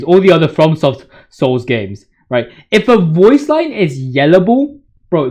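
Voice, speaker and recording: A man hosting a gaming video, giving casual, slangy fan commentary in a hyperbolic, emphatic way. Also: high voice.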